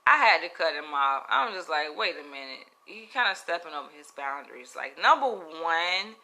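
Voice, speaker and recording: A woman with a Southern US accent talks to camera in a piercing, very bright voice. She tells her story frankly and with lots of animation. Her speech is loose, casual and sprinkled with profanity. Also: deep voice, expressive, moderate pace. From Florida, US. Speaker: comedian.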